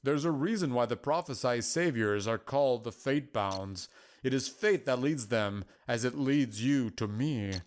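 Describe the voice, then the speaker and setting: A man voicing a patient, cryptic mystical guide in a story, with measured, formal, archaic phrasing. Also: mid-range voice, lively intonation, close to an everyday voice. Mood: cryptic, assured. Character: Lazarus, solemn mystical male prophecy keeper, patient and cryptic.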